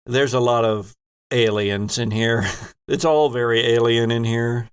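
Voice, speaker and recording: A man's voice: mid-range voice, fairly even intonation, unhurried, clear, resonant tone. A man acting as game master, narrating and running a tabletop horror role-playing game.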